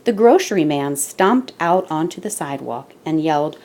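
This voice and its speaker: A woman reading a children's picture book aloud with deliberate, expressive emphasis, as the storyteller and host. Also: deep voice.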